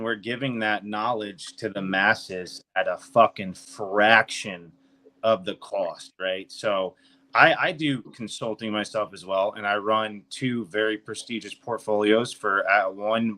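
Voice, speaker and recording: A man's voice: fairly low pitch, a little slow, pushed and bright. Emphatic, swearing man in his thirties. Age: 30s. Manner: emphatic, profane, boastful. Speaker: financial consultant and podcast speaker. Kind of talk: casual unscripted talk.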